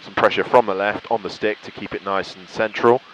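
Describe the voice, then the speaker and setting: Low-voiced British man over clear cockpit noise. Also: fairly even intonation, brisk, rough recording. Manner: enthusiastic, reflective. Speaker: pilot vlogger. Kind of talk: casual talk to viewers while flying. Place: cockpit of a small light aircraft in flight.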